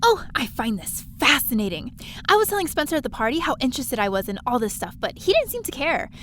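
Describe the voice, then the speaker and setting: Lady acting a chatty young space stowaway, highish voice, eager and breezy, some noise behind her, audio drama. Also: very animated, fast talker, fairly bright tone. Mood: breezy, insistent. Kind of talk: first-person audio drama narration and dialogue.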